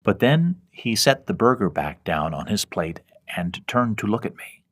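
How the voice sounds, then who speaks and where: A man's voice: lowish voice, sing-song, unhurried. A man reading his own scripted horror story as a first-person narrator. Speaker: author reading own horror fiction podcast.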